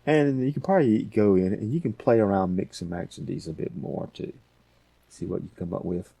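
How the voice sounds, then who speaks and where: A man hosting a casual software tutorial, thinking aloud in an unscripted way. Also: lowish voice, expressive, moderate pace, creaky, slightly rough, no echo. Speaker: tutorial host.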